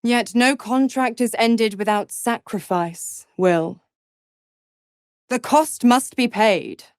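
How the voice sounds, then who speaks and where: A woman's voice: on the high side, slow, clear, resonant tone, dry recording, studio quality. An actress voicing a seductive, menacing female devil in a video game, theatrical and condescending, savoring each word as she taunts. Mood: cold, threatening. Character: smug, seductive, menacing female devil, cruel mistress of pacts.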